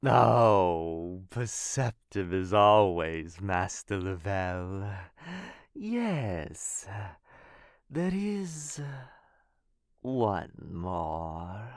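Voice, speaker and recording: A man playing an obsequious, sly auction-house servant in an audio roleplay, creepy and deferential, with a halting, fawning delivery. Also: low-pitched, sing-song, dry recording, studio quality, exaggerated voice. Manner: fawning, halting, very slow, chuckling.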